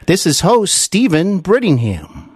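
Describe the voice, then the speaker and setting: Effusive American podcast host, lively male voice. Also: high voice, a little slow, slightly rough, no echo. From United States. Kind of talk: scripted podcast introduction.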